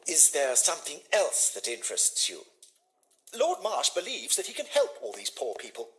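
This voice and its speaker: A man's voice: a little high, huge pitch swings, moderate pace, a little hiss or hum. An actor playing a polite, formal Victorian man in a detective video game. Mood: polite, earnest. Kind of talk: detective game character dialogue.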